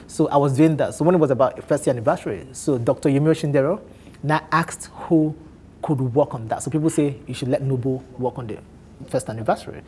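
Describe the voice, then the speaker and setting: A man in his thirties from Nigeria with an Igbo accent, telling his life story candidly on a talk show, animated and often laughing. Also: mid-range voice, fast talker, a little hiss or hum. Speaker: guest on a men's talk show.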